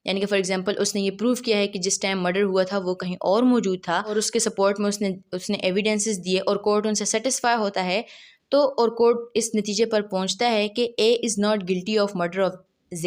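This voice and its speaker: A woman's voice: medium pitch, steady tone, moderate pace. A woman with a Pakistani accent explaining like a law lecturer, didactic, often posing questions and answering them herself.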